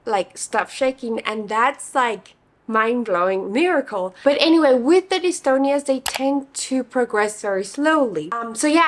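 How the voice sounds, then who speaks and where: Young woman, slightly raspy and hoarse, higher-pitched, chatty vlog talk, lots of fillers. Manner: chatty, many fillers like "like" and "you know". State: hoarse from singing. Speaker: beauty vlogger. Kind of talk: casual get-ready-with-me chat.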